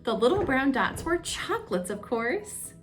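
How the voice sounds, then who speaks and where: Expressive higher-voiced woman reading a children's storybook. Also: unhurried, faint music behind. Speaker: children's story time reader. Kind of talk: read-aloud children's storybook narration.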